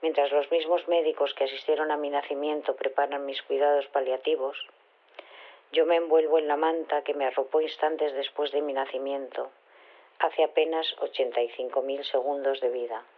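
A woman's voice: deep voice, steady tone, moderate pace. An actress playing an earnest, bookish woman scientist leaving a sincere voicemail message through a telephone filter. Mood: solemn, nostalgic. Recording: tinny phone-line voicemail.

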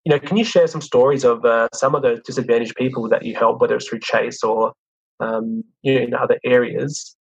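A friendly male interviewer asks long, rambling questions with plenty of fillers. His voice is gravelly and a touch husky, and the recording sounds slightly muffled. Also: mid-range voice, steady tone, fairly quick.

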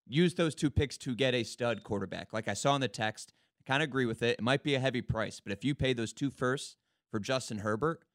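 A man's voice: medium pitch, a bit bright, no room sound. A man talking sports casually and offering his opinion in a radio studio.

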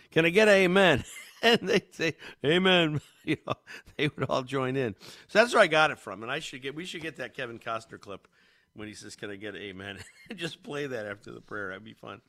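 A man's voice: mid-range voice, fairly bright tone. A man hosting a talk radio show, congested, rambling casually with many fillers and restarts.

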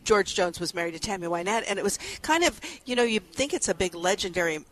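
A woman's voice: medium pitch, expressive, fast talker, a bit bright. A woman in her sixties chatting casually as a guest on a radio show. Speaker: entertainment commentator, radio guest. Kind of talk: casual chat. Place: on location at a theater. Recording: faint background noise.

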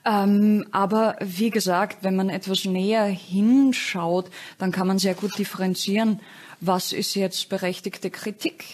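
A woman speaking as a panel guest in a talk show discussion, measured and articulate, with occasional fillers. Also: mid-range voice, fairly even intonation, a little mellow, a little hiss or hum.

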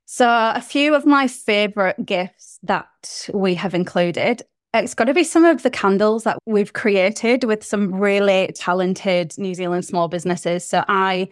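A woman's voice: medium pitch, dry recording, studio quality. A British woman in her thirties, chatting enthusiastically as a podcast guest about her small business. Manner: chatty and enthusiastic, laughs easily. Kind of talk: casual interview chat.

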